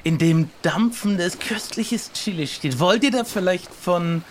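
Man playing a high-voiced, chatty, skittish pirate. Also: a little slow, a little hiss or hum. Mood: chatty, inviting. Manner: talkative, excitable, hesitant repetitions. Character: chatty, skittish pirate ship driver and chili lover. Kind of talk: improvised audio adventure play.

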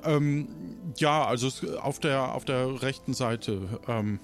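A man's voice: mid-range voice, slow pace, faint music behind. A man narrating an improvised radio play as game master, hesitantly searching for words.

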